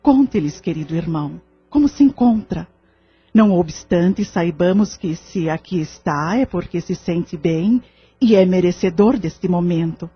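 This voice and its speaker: A woman's voice: lowish voice, expressive, slightly rough, exaggerated voice. A woman with a Brazilian accent playing a serene, kindly séance leader, solemn and consoling in an audio drama. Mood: gentle, encouraging. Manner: solemn, gentle, measured, consoling. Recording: slightly muffled, music behind.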